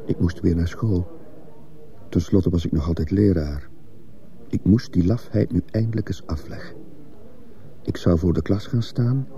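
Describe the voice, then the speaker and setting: A troubled schoolteacher in a radio drama, with a deep voice full of creak. The tone is very mellow and dark, and he speaks gravely and inwardly, measuring out his story. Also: a little slow, long pauses, highs cut off. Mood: resolute, troubled. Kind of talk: radio drama acting and first-person narration.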